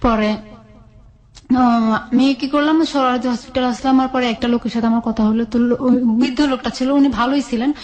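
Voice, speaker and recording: Woman, Bangladeshi accent, higher-pitched voice, colloquial personal storytelling, recounted dialogue, interview guest. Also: steady tone, brisk, darkish tone. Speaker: guest telling own life story.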